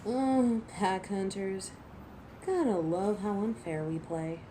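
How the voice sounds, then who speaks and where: A woman's voice: medium pitch, takes it slowly, dark tone, slight background noise, lightly acted. A woman playing a cocky, teasing werewolf in a scripted audio roleplay, sardonic and protective with an edge of menace, speaking to the listener. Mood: cocky, taunting. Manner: sardonic, taunting, playful.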